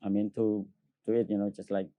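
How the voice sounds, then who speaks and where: A Cambodian man who speaks Khmer as his first language and sings opera tenor, answering an interview in halting English with many fillers and frequent laughs. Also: on the low side, level tone, dry recording, narrow, tinny audio.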